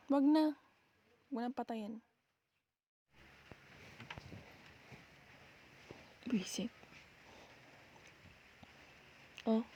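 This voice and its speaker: A woman's voice: high-pitched, mellow, highs cut off, a little hiss or hum, natural voice. A woman playing a nagging, sulky young Filipino girlfriend who scolds and questions her partner in an ASMR roleplay. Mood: sulky, resigned. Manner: scolding, pouty, rhetorical questioning.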